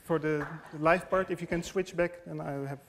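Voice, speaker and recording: A middle-aged man giving a live demo talk in a lecture hall, hesitant and halting, often restarting his words. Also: fairly high pitch, fairly even intonation. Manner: hesitant, halting delivery with repeated word starts. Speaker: app developer presenting a demo. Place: large lecture hall, on stage at a lectern. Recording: noisy live hall recording.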